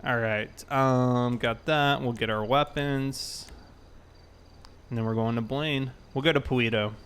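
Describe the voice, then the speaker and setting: Clear-voiced man chatting on a game stream. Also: mid-range voice, fairly even intonation, unhurried, a bit bright. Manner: informal, thinking aloud while reading chat. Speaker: live streamer, host. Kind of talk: casual game livestream chat.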